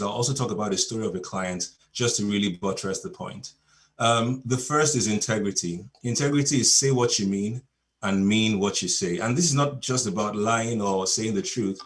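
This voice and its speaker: A lower-voiced man from Nigeria, a native Yoruba speaker, gives a relaxed coaching talk over a video call. He tells stories from his clients and chuckles between points.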